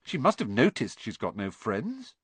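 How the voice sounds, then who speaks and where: A man's voice: fairly low pitch, wildly swooping pitch, moderate pace, fairly bright tone, slightly rough, no echo, full character voice. A man doing Ron, a sulky schoolboy. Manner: sulky and scornful.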